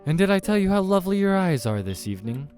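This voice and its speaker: A man's voice: sits high, very animated, quick pace, a little mellow, soft background music, voice pushed up. A man voicing an eager, doting male date in a visual novel, sweet and keen to please. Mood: flattering.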